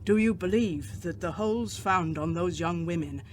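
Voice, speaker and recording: An earnest, solemn older doctor acted by a man in a radio drama. His voice sits rather high for a man, and his phrasing is formal and deliberate. A light noise runs behind him. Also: close to an everyday voice. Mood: grave, urgent. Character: grave, earnest older male doctor and vampire hunter.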